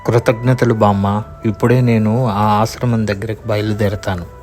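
An earnest young potter played by a man speaks in a low voice with little melodic movement. He is naive and polite, a cartoon moral-tale character with music in the background. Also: little pitch movement, normal speed, a little mellow, lightly acted. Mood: pleading. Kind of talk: cartoon moral story voice acting.

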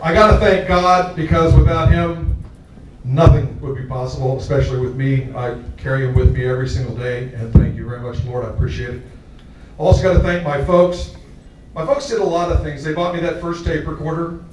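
Older guy from texas telling stories on stage, bit high voice, big echoey hall, far off mic. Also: steady tone, fairly quick, background noise. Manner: jovial, storytelling, laughs along with the crowd. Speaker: radio DJ giving an award acceptance speech. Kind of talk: anecdotal speech to an audience. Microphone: handheld mic, filmed from far off.

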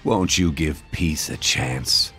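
An actor playing an arrogant, menacing warlord in a video game, taunting in a drawling, mock-polite way. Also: male voice, extremely low pitch, slow, sharp tone, faint music behind, full character voice. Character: arrogant, menacing male warlord of an invading army.

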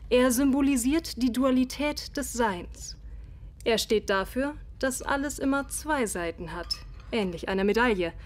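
Actress as high-voiced, proud Victorian lady doctor. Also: a little slow, natural voice. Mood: composed, professional. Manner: formal, precise, haughty. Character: proud, defensive Victorian woman psychologist and doctor. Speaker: voice actor in an audio drama. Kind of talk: German radio play dialogue.

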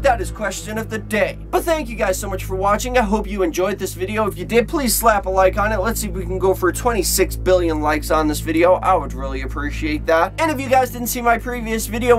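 Man in his twenties, video host, casual, emphatic, rambling, fairly high voice, background music. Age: 20s. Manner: rambling, emphatic, repeats himself. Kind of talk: casual talk to camera.

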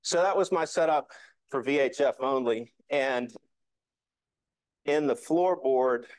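A man's voice: medium pitch, takes it slowly, smooth, no echo, rough recording. A man presenting an informal slide talk to a hobby club, explaining things in a folksy, joking, hands-on way. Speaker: amateur radio club presenter.

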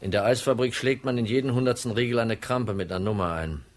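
An actor playing a cool, shrewd insurance detective in a radio crime drama, matter-of-fact and in control. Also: male voice, medium pitch, fast, natural voice. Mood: calm, explaining.

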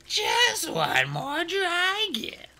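A cruel female dragon queen from a storybook, high and sharp-edged, hissing and purring her taunts, as a male narrator puts her on. Her voice swoops wildly up and down, bright and tense, with a gravelly grain at the bottom. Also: wildly swooping pitch, takes it slowly, heavily acted. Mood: purring, coaxing. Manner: hissing, snarling, mocking.

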